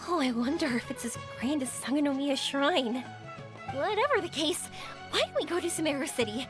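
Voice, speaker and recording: A woman's voice: high-pitched, animated, moderate pace, music in the background. A woman voicing a bubbly, enthusiastic young woman traveller in a video game, eager and exclamatory. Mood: awed, excited.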